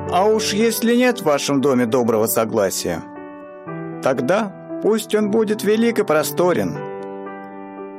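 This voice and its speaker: A man narrating a radio play in a slow, wry storytelling manner. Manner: slow, wry storyteller with wide swings in pitch.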